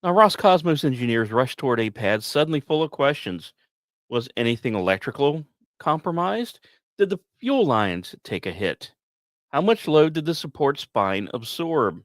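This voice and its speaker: A man's voice: mid-range voice, big pitch swings, moderate pace, no echo, studio quality. A man hosting a podcast, reading scripted space news like a dramatic story with conversational asides. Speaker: podcast host.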